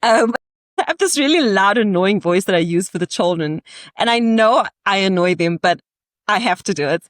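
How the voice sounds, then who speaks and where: A woman's voice: medium pitch, animated, normal speed, dry recording. A woman in her thirties, a podcast guest, telling candid stories in conversation with playful asides and easy laughter.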